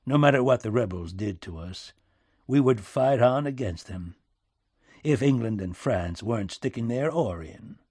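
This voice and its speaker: A man's voice: mid-range voice, expressive, unhurried, a little mellow, slightly rough, no echo. Audiobook role: weary, sardonic American president, folksy back-country twang, emphatic, very dry close sound male narrator acting it out. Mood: bitter, contemptuous.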